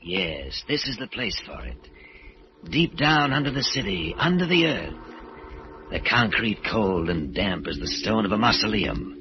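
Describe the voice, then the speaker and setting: An actor playing a menacing killer who calls himself Death, brooding and sinister, in 1940s radio horror style. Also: male voice, fairly low pitch, sing-song, unhurried. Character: Death, menacing male killer choosing a victim. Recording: noisy, muffled old radio recording.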